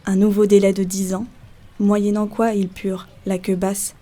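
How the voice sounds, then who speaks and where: A woman's voice: mid-range voice, flat delivery, moderate pace, dark tone. A woman narrating a literary folk tale as a scripted storyteller.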